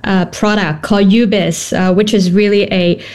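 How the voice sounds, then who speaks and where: A woman's voice: mid-range voice, fairly even intonation, moderate pace, darkish tone. Thoughtful woman founder from Hong Kong. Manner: thoughtful, many fillers and restarts. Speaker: company founder. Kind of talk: interview answers.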